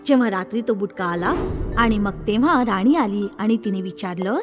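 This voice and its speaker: A woman narrating a children's fairy tale in an expressive, scripted storytelling style. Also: medium pitch, moderate pace, soft background music.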